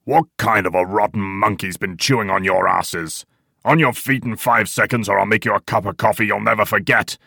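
A man's voice: low voice, brisk, bright, forceful tone, no echo, studio quality. A man voicing a weary, short-tempered old section leader in a war novel, barking coarse orders. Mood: furious.

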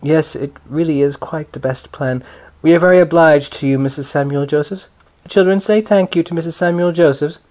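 A man doing a brisk, proper grandmother. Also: on the high side, a little mellow, pitched above the performer's own voice, natural voice. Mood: formal. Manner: brisk, formal.

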